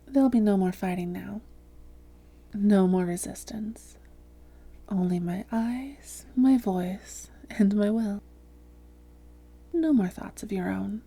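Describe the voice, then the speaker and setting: Woman acting a haughty female vampire, very mellow dark tone, breathy whispers, smug, teasing, coaxing, intimate hypnosis roleplay. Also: medium pitch, full character voice. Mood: coaxing, commanding. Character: haughty, seductive female vampire hypnotist toying with a captured hunter.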